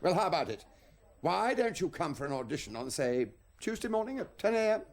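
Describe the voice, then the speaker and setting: A man's voice: high-pitched, very animated, fairly quick, creaky, exaggerated voice. An actor playing a polite, male broadcasting executive with a clipped, genteel manner. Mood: courteous. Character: plummy upper-class male broadcasting executive.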